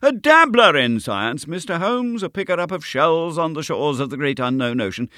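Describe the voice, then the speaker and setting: A man voicing an eager, fussy young country doctor, speaking in an excitable, effusive way in an audiobook reading. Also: normal speed, no echo, studio quality. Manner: excitable, effusive and high-strung.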